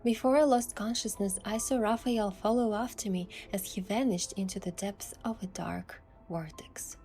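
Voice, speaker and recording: A woman's voice: mid-range voice, moderate pace, warm tone, soft background music. A woman giving scripted first-person narration of an adventure story as its protagonist.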